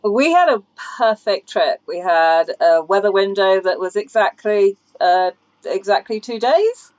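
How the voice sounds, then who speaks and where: Clear-voiced middle-aged woman, slightly dull recording. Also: medium pitch, animated, unhurried, darkish tone. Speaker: boat skipper, podcast guest. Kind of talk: casual interview chat.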